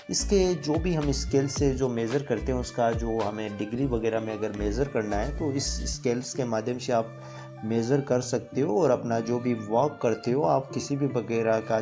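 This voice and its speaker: A man giving a rambling voice-over review of woodworking tools, repeating himself often. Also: medium pitch. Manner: rambling, repetitive filler-heavy explanations. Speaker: product reviewer.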